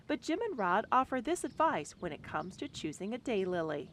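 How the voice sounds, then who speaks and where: Lively woman narrating a TV feature. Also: medium pitch, brisk, a little hiss or hum. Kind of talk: scripted narration.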